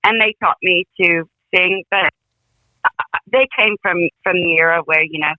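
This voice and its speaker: A woman's voice: on the low side, measured pace, no echo. Rambling woman on a tinny phone line. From England. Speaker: guest songwriter. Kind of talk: casual interview.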